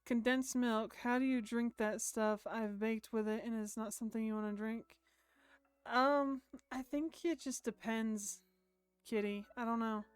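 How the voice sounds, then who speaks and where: Female game streamer, higher voice, casual and chatty, reads on-screen story between asides, music behind. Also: steady tone, a little slow, smooth, no room sound. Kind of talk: casual live stream chat mixed with reading a visual novel aloud. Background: background music.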